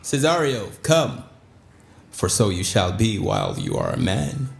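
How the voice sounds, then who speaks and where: A man's voice: fairly low pitch, wildly swooping pitch, a little slow, creaky, heavily acted. A man playing a lordly, tender nobleman in love in a theatrical Shakespearean audio play. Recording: echoey room, faint background ambience.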